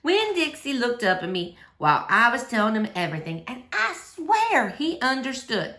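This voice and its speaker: A woman narrating a children's novel aloud in an expressive, animated storytelling manner for children. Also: fairly high pitch, moderate pace. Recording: roomy, echoey indoor sound.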